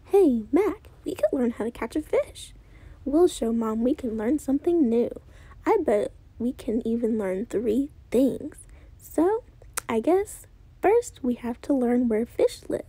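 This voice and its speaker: A woman voicing two silly, eager young noodle brothers in a storybook, talking in an excited, playful way. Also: sits high, husky, no echo, heavily acted.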